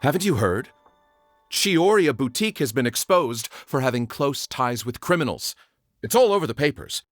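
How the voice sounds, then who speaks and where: A man's voice: mid-range voice, big pitch swings, normal speed, a bit bright, no room sound, studio quality, soft background music. An actor voicing a disgruntled, evasive and accusing male shop customer in a video game.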